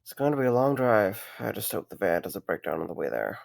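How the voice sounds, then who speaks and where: A man's voice: fairly low pitch, very animated, fairly quick, vocal fry, no echo, exaggerated voice. Wry young night guard, acted by a man, dry sound. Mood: resigned, exasperated. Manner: wry, conversational. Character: Mike, sarcastic easygoing young night guard. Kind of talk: audio drama dialogue.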